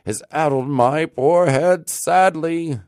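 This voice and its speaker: An American man voicing a bewildered, sorrowful old villager from a folk tale, slow, lamenting and emphatic. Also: a little high, a little mellow, no echo, studio quality, pitched above the performer's own voice. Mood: rueful bewilderment.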